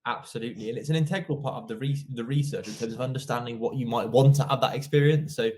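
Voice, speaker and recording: A man's voice: mid-range voice, quick pace, a little mellow. A young man hosting an online interview in a polite, formal, effusive way. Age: young adult. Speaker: interviewer, host. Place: indoor office, video call.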